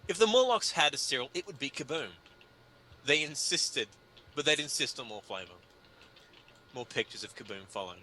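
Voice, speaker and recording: Bright, animated man reading with mock gravity. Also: medium pitch, normal speed, pauses often, slight background noise, poor audio. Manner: mock-grave, pompous, breaking into laughter. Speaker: reader of a humorous article. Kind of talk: theatrical dramatic reading of comic prose.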